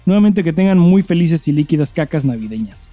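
A man's voice: fairly high pitch, lively intonation, a little fast, mellow, no echo, faint music behind. A man with a Mexican accent co-hosting a podcast, chatting and reading listener mail in a crude, slangy, joking way. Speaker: podcast co-host.

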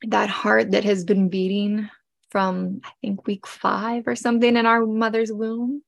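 A woman of forty speaks slowly and tenderly, with a gravelly, creaky edge. She is congested from a cold, and the sound is dry and close. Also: mid-range voice, little pitch movement, dry recording. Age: 40s. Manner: gentle and heartfelt, with frequent pauses and fillers. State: congested, getting over a cold. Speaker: podcast host. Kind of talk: personal reflective podcast talk.

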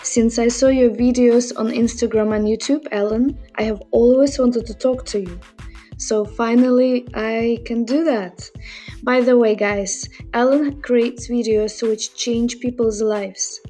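Eager woman host, fairly high and mellow, over faint music. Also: steady tone, measured pace. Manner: eager, enthusiastic. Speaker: podcast host. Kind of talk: casual interview chat.

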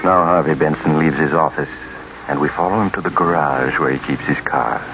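A man's voice: a bass voice, a little fast. An actor as an ominous male storyteller, narrating a mystery in dramatic old-time radio style. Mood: measured, ominous. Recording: muffled old radio recording. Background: loud dramatic music.

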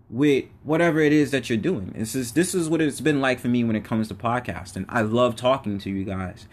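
A man hosting his own podcast, giving a casual, preachy motivational monologue in everyday slang. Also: medium pitch. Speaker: podcast host.

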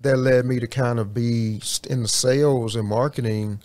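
A man from Houston, Texas, guesting on a podcast and telling about his work in a hesitant way, with frequent pauses and restarts. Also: mid-range voice, fairly even intonation, a little mellow, no echo. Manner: hesitant, many fillers and restarts. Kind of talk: interview answers.

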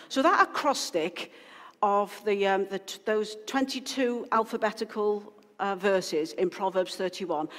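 A woman pastor giving an informal, rambling sermon to her congregation, full of asides and restarts. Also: medium pitch. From Wales. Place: church hall. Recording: long hall reverb.

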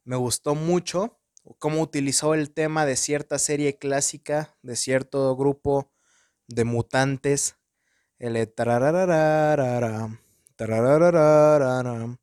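A man's voice: mid-range voice, steady tone, slow, darkish tone, smooth, no room sound, clean studio sound. A young Mexican man with a Mexican accent, casually reviewing a movie at home in slangy, profane talk full of filler words. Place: room at home in front of a window.

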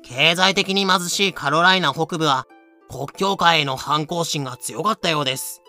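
A man's voice: fairly high pitch, normal speed, pushed and bright, soft background music. A man narrating a scripted audio drama in a clipped, announcing manner. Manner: clipped, announcing dates and titles.

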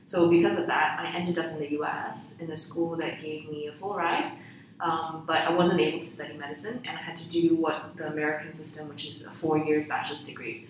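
A woman's voice: deep voice, fairly even intonation, brisk. A young adult woman from Hong Kong and Ghana, a youth charity founder, telling her story candidly and reflectively as an interview guest. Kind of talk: casual interview. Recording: echoey room, far from mic, faint noise.

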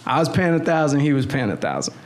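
A man's voice: on the high side, sing-song, fast talker. A man in his thirties chatting casually as a guest, slangy and rambling, often restarting his sentences. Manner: slangy, rambling, lots of restarts and "you know what I'm saying". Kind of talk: casual conversation.

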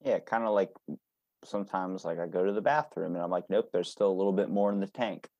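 Man, deeper pitch, dry close sound, casual podcast host, blunt anecdotal delivery. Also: fairly quick, dry recording, dull audio. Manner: blunt, informal, profane, anecdotal.

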